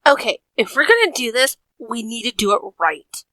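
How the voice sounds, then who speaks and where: Woman acting a bossy young pirate girl, high sharp voice, lively, very dry sound. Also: moderate pace, no room sound. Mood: firm. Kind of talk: audio drama acting.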